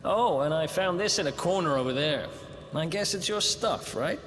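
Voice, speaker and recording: A streetwise thief, animated and wry, game cutscene. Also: male voice, a little high, normal speed, a little hiss or hum. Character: cocky young male thief, wry and quick. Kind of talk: video game voice acting. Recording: game cutscene with long reverb.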